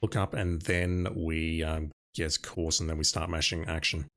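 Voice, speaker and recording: Low-voiced man chatting over gameplay. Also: a little slow. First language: Russian. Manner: relaxed, musing, chatty. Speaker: streamer. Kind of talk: casual gameplay commentary.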